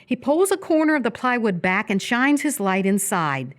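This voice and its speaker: A woman's voice: fairly high pitch, very animated, normal speed. A woman narrating scripted stage action for a live radio theatre piece.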